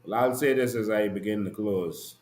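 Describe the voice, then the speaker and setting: A man's voice: lowish voice, normal speed, darkish tone. Impassioned Bahamian man, echoey room. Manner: passionate, rhetorical and emphatic, with repeated declarations. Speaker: host and activist. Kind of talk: impassioned political commentary.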